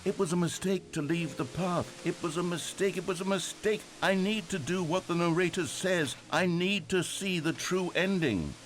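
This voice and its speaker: An actor playing a wry, theatrical storybook narrator in a video game. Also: male voice, sits high, moderate pace, hardly any pauses, slight background noise, close to an everyday voice. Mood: anguished. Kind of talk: scripted narration.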